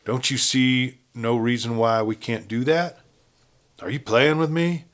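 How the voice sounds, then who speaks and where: A man's voice: medium pitch, fairly even intonation, measured pace, a bit bright, natural voice. A man voicing a ruthless, blunt outlaw gang leader with a folksy cowboy drawl in a Western story. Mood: scheming, insistent.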